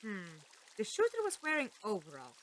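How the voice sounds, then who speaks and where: A woman playing a determined, defiant young noblewoman in an audio drama, earnest and expressive in delivery. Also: medium pitch, moderate pace. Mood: musing, investigative. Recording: noisy recording with clicks and sound effects.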